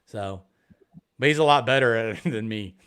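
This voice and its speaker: Excitable man from Ohio, rambling casual stream talk, many restarts. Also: medium pitch, normal speed, clear, resonant tone. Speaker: metal detecting YouTuber, guest. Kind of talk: casual live stream chat.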